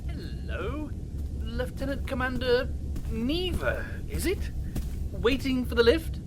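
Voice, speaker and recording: An actor playing a disgraced starship officer in an audio drama, slyly persuasive, polite and insinuating. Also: male voice, very high-pitched, very animated, takes it slowly, full character voice. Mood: arch, needling. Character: smooth-talking, scheming male disgraced officer, slyly persuasive. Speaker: starship officer, former captain. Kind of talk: science fiction audio drama acting.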